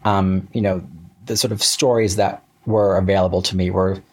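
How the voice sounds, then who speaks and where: An American man talking casually as an interview guest, in a halting, self-correcting way. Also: deep voice, level tone, slightly rough. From Central Florida, United States.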